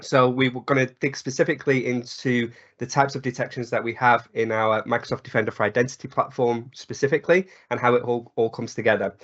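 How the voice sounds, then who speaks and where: A man with a croaky cold voice presenting a technical webinar, with frequent ums, uhs and restarts. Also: mid-range voice, fairly even intonation, brisk, hardly any pauses, a bit bright. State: hoarse from a cold. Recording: slightly dull webinar audio.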